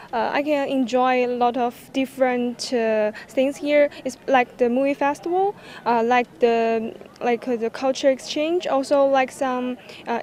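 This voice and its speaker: A teenage exchange student, a woman whose first language is Chinese, answers an interviewer's questions. Her voice sits high, and she speaks hesitant English, pausing and filling gaps as she searches for words. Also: a little mellow. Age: teens. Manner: hesitant, many fillers while searching for words.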